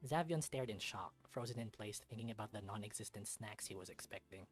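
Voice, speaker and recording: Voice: medium pitch, brisk, slightly rough. A person reading visual novel narration aloud as a let's-read. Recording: music under the voice. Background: game music.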